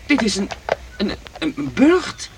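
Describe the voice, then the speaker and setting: A man acting a lively, teasing young friend in a radio drama, eager in manner. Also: very high voice, measured pace, vocal fry, slight background noise, full character voice. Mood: amazed.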